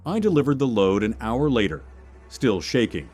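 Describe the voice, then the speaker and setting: A man narrating a scripted first-person horror story in a measured, suspenseful manner. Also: medium pitch, very animated, a little mellow, faint music behind.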